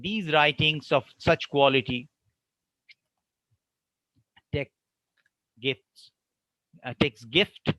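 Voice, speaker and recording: A man giving an online academic lecture, explaining with deliberate pauses between phrases. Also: medium pitch, a bit bright, dry recording, poor audio.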